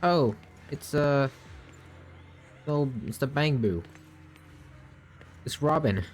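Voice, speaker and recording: A man giving casual, animated live reactions as a game streamer, often repeating his phrases. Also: fairly high pitch, takes it slowly, a little hiss or hum.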